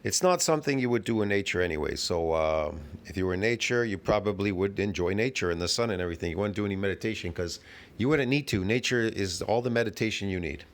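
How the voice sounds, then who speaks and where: Low-voiced guy rambling and scoffing at some other guy's video from home. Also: lively intonation, quick pace. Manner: rambling, brash and dismissive. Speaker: channel host reacting to videos. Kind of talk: casual reaction commentary.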